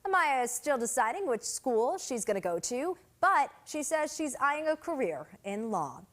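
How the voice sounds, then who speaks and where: A woman presenting upbeat news stories in a clear, scripted anchor delivery. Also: sits high, very animated, moderate pace, a bit bright.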